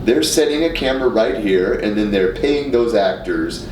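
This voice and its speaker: A man in casual discussion, haltingly repeating words as he builds his point. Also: lively intonation, darkish tone, recorded from a distance. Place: indoor radio studio. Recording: roomy, noisy, off-mic studio recording with rumble.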